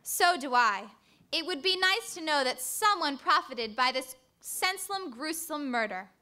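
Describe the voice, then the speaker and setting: A woman's voice: high-pitched, lively intonation, unhurried, bright, forceful tone, soft background music, heavily acted. A woman acting an earnest, inquisitive companion to a crime fighter in an old-time radio drama. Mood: earnest, curious. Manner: theatrical, earnest.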